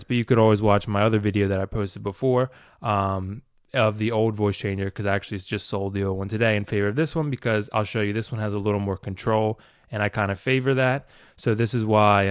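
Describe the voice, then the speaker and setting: Male hobbyist tutorial host, informal and rambling, smooth clear tone, mid pitch, close dry sound. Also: no room sound. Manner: informal, rambling, talking through a demonstration. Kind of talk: casual unscripted product walkthrough.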